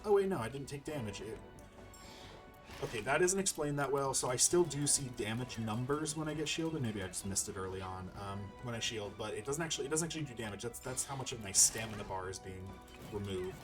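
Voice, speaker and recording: A man's voice: medium pitch, a little fast. A man giving casual, chatty let's play commentary, thinking aloud over game music. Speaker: gaming video host.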